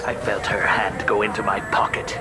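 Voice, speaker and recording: A man's voice: fairly low pitch, level tone, moderate pace, loud music behind the voice, lightly acted. A man voicing a peevish, accusing alien customer in a video game, ponderous and grumbling. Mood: indignant.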